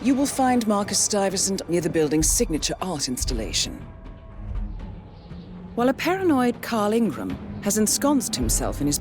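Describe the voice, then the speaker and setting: A calm mission handler. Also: female voice, fairly low pitch. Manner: crisp, precise mission briefing. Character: calm, composed female intelligence handler. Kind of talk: video game cutscene voice acting. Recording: game cutscene with music behind.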